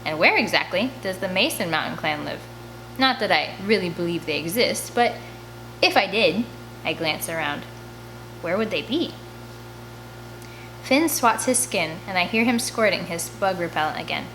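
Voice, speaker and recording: A woman's voice: on the low side, lively intonation, normal speed, pauses often, fairly bright tone, slight background noise, rough recording. A woman reading a first-person children's novel aloud as an audiobook, telling the story expressively. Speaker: audiobook reader.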